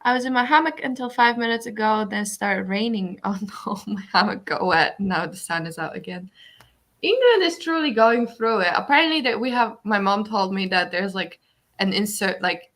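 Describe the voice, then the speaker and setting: Woman, livestream host, bright forward voice, casual chat, reading comments aloud. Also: medium pitch, lively intonation, moderate pace.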